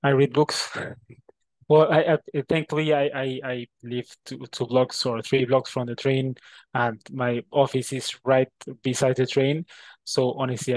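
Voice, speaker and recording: A man from Peru with a Peruvian Spanish accent, a native Spanish speaker answering interview questions in a halting way, often repeating words. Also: medium pitch, a little mellow, dry recording, low-quality recording. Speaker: interview guest.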